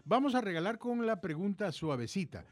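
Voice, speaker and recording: High-voiced Salvadoran man hosting radio, dry sound. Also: very animated, a little fast, no echo. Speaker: radio show host. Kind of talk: casual radio banter. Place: radio studio.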